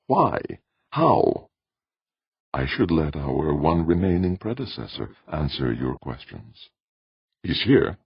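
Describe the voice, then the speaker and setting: A man voicing a stiff, solemn old man, a formal and deliberate guide in a science fiction audiobook. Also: very deep voice, sing-song, slightly rough, no room sound, dull audio, exaggerated voice.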